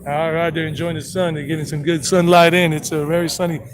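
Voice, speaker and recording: A talkative man rambles through a casual walking tour outdoors on a town street, trading jokes with a companion and calling out to people he passes. His voice sits a touch higher than most men's, and a faint hum of traffic runs underneath. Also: flat delivery, quick pace. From South Carolina, United States. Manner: chatty, rambling, jokey, talks to companions and passersby. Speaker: tour guide vlogger.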